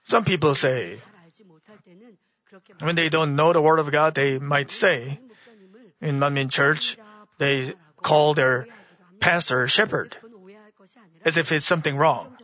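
A hoarse man preaching to a congregation, halting and restarting often. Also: on the high side, pushed and bright, muffled recording. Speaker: church speaker. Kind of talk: sermon-style teaching.

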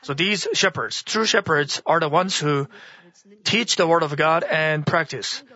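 Hoarse man giving a halting sermon. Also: on the high side, sharp tone, muffled recording. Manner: halting, with frequent restarts. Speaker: church speaker. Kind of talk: sermon-style teaching.

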